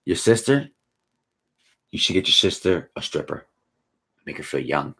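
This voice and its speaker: Slangy tired man in his forties, husky. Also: deep voice, moderate pace. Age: 40s. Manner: laid-back slangy, drifts from mumbling to excited. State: tired, yawning. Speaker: live-stream vlogger. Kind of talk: casual live chat reading comments.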